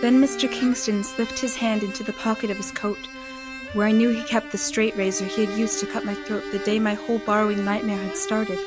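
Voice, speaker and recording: A woman's voice: medium pitch, little pitch movement, a little fast, loud music behind the voice, natural voice. A woman acting in an audio drama as a hunted, defiant woman who pleads to be believed, also narrating in the first person. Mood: tense narration.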